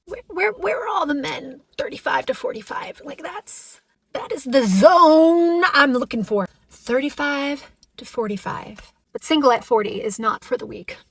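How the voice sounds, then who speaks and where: A woman's voice: sits high, lively intonation, measured pace, vocal fry, no echo. Chatty woman in her forties. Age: 40s. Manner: chatty, exasperated and self-deprecating. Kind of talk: talking to camera vlog.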